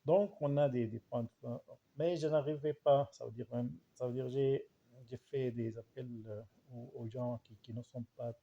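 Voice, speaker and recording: Male, Arabic accent and mother tongue. Very dark, relaxed timbre with fry; halting, repetitive, informal delivery. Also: medium pitch, dull audio. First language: Arabic dialect. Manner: halting, searching for words, much repetition. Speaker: language researcher and writer. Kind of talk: informal spoken reflection.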